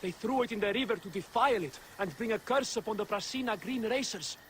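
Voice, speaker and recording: An earnest young man devoted to his family, voiced by an actor in a video game drama. His voice is high for a man and his delivery is dramatic. Faint noise runs behind it. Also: fast, lightly acted. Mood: pleading. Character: earnest, weary young son pleading for family honour. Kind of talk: video game cutscene acting.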